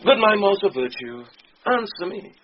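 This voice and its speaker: A man's voice: high voice, very animated, normal speed, a little hiss or hum. A man playing an impudent, witty court fool in a Shakespearean radio drama, teasing with playful riddles and mock logic. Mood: mock-serious teasing. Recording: dull 1990s radio recording, faint music.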